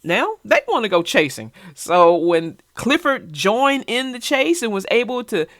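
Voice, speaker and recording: A woman's voice: fairly high pitch, sing-song, unhurried, no echo, clean studio sound. A woman hosting a children's read-aloud show, chatting casually in a folksy way. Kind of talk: casual chat about a picture book.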